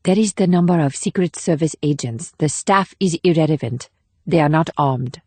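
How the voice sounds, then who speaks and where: A woman playing a cool, controlled female assassin with a formal, measured delivery in an audiobook drama. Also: deep voice, mellow, husky, no echo. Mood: cool, confident. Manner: measured, formal, clipped short statements. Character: cool, controlled, steely female North Korean assassin leading a mission.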